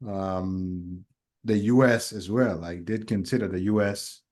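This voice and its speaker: A man who grew up in Kenya, co-hosting a casual podcast and talking in a reflective, hesitant way full of pauses and fillers. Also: low-pitched, fairly even intonation, darkish tone. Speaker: podcast co-host.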